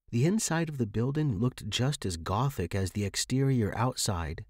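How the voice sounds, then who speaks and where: A man's voice: lowish voice, a little mellow, no echo, studio quality. A man reading a story aloud as a scripted, dramatic fiction narration. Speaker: audiobook narrator.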